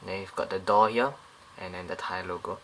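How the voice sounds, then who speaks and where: A man's voice: low-pitched, steady tone, brisk, fairly bright tone, slight background noise, low-quality recording. A man casually reviewing a scale model airliner up close, talking in a loose, rambling way with many fillers and restarts.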